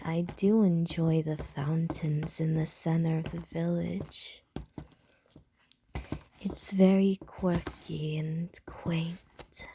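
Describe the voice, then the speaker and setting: A woman voicing a seductive, teasing ancient female vampire in an ASMR audio roleplay, speaking in a soft, breathy whisper. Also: fairly low pitch, slight background noise.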